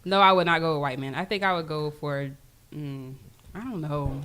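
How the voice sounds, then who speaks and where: A woman's voice: low voice, normal speed, a bit bright. A woman on a podcast panel, speaking casually in a blunt, matter-of-fact way. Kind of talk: casual conversation.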